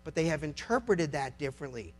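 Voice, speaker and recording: A man's voice: on the high side, sing-song, fairly quick. An American man, a lawyer, giving an impassioned public speech, emphatic and sardonic, using rhetorical questions and repeating phrases for effect.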